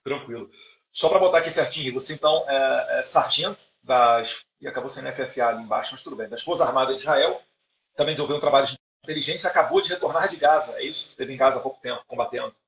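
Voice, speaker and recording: Man with a gravelly voice in a roomy space. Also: medium pitch, fast talker, fairly bright tone, highs cut off. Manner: conversational, many fillers and repeated words. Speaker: live stream host. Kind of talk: live stream interview. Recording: echoey room.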